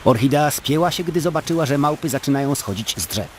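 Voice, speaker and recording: A man narrating a scripted story as a dramatic, expressive storyteller in an audio drama. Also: a little high, fast talker, a little hiss or hum.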